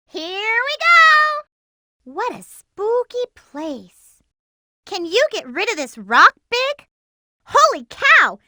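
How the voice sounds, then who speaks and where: A woman voicing an excitable, determined young girl heroine in a video game, with a girlish, perky, emphatic delivery. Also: dry recording, clean studio sound, heavily acted. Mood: excited, then curious, cheerful. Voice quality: girlish, high-pitched. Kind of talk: animated video game character voice lines.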